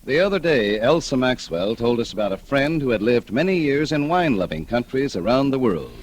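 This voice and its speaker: A man's voice: medium pitch, big pitch swings, fairly quick, a little hiss or hum. A man announcing in polished, emphatic 1940s radio advertising style, spelling out words for stress. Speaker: radio announcer, sponsor pitchman.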